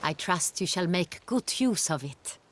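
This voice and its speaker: Slow, stately young duchess. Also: female voice, lowish voice, expressive. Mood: solemn, sorrowful. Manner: formal, stately, carefully worded. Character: regal young duchess, formal, dignified, torn. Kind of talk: video game cutscene acting.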